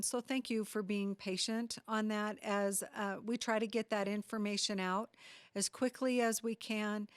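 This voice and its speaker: Female district leader for a community update. Smooth, clean tone, measured delivery, very dry recording. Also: mid-range voice, no echo. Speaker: school district superintendent. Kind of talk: prepared public address to community.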